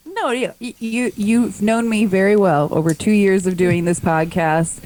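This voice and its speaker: A woman's voice: medium pitch, a little slow, darkish tone, a little hiss or hum, low-quality recording. A woman co-hosting a podcast, chatting in a bubbly, emphatic way. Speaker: podcast co-host. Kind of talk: casual chat.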